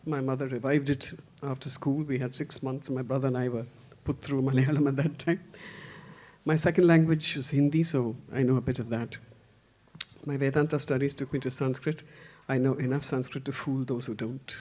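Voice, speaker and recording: An older woman from India, speaking on a festival panel in a rambling, self-deprecating way with many restarts and soft laughs. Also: extremely low pitch, steady tone, moderate pace. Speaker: panelist, translation editor. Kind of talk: panel discussion.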